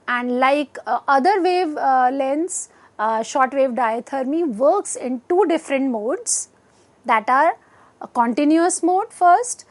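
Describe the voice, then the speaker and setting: Indian lady teaching off slides, high voice, really slow and halting. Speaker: lecturer. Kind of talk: educational lecture, reading from slides. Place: indoor studio set. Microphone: lapel mic.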